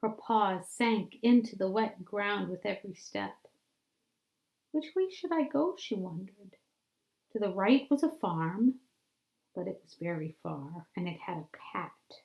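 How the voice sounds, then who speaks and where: A woman reading a children's story aloud like a teacher, with deliberate, dramatic phrasing that drops into hushed tones. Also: medium pitch, gravelly, poor audio.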